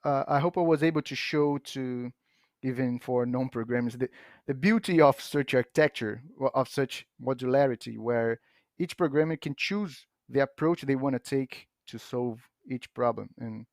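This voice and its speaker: A man whose first language is Portuguese, patiently explaining software on a podcast, often restarting his sentences. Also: mid-range voice, no echo, studio quality. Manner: patient, halting with frequent restarts and fillers. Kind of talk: casual explanatory chat.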